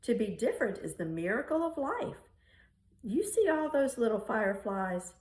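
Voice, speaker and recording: A woman's voice: fairly high pitch, big pitch swings, moderate pace, a little mellow, voice pushed up. A woman voicing a tender, doting, wise old grandmother in a children's storybook. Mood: tender, reassuring. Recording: roomy, echoing room sound.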